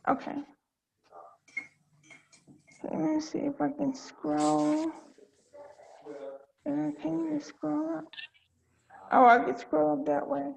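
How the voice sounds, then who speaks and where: High-pitched creaky-voiced woman on a call. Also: little pitch movement, takes it slowly, pauses often. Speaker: organizer and host of table read. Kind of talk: casual video-call chat. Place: remote video call. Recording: dull lo-fi video call audio.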